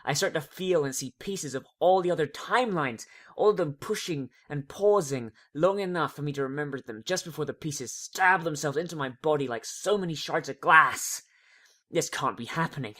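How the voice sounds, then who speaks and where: A theatrical, expressive reader narrating a fan fiction story in a dramatic audiobook style. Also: mid-range voice, normal speed.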